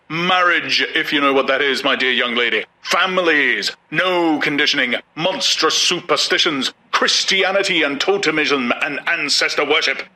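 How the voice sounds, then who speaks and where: A male narrator playing a pompous older official who booms out facts and unasked-for advice. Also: sharp tone, rough recording. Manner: booming, lecturing, self-satisfied.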